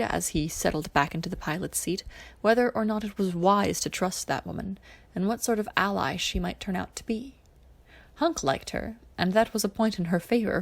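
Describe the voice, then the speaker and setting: A woman reading a science fiction story aloud as scripted narration, with a creak in her voice. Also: medium pitch.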